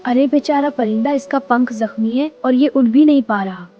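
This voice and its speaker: A woman's voice: high-pitched, normal speed, lo-fi sound, lightly acted. A woman voicing a young boy adventurer in a children's cartoon, earnest, exclamatory and easily frightened. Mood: earnest wonder. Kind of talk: children's moral cartoon story acting. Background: music.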